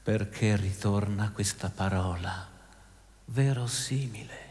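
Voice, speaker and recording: A man's voice: lowish voice, slow, slight background noise. A man narrating and commenting in a scripted, theatrical radio-drama style. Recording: long hall reverb, loud music behind.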